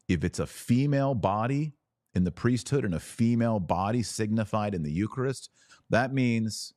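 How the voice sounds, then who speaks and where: A man's voice: low voice, darkish tone, dry recording, clean studio sound. A man hosting a religious commentary podcast, speaking to camera in an emphatic, didactic way with rhetorical questions. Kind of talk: opinionated religious commentary to camera, reading aloud at times. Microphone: close mic at a webcam setup.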